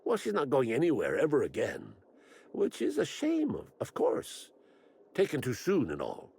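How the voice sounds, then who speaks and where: Crotchety old botanist, wildly animated and gravelly. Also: male voice, mid-range voice, moderate pace, heavily acted. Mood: indignant. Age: older. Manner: grumbling, rambling, theatrical. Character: crotchety, eccentric old botanist, rambling and morbidly blunt. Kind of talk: video game character acting.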